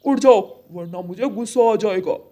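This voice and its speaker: A woman voicing a stern, threatening father crow in a cartoon, gruff and scolding. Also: mid-range voice, moderate pace, dark tone, natural voice. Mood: angry scolding.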